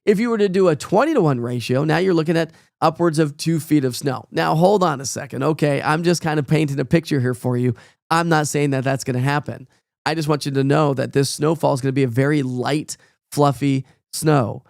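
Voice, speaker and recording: A weatherman talks through his forecast in a folksy, rambling way, leaning on plenty of fillers. His somewhat high voice has a gravelly, croaky edge from a cold that is taking his voice. He sounds close to the mic in a dry, dead room. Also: a little fast, darkish tone, no room sound. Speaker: meteorologist. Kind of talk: casual live weather forecast.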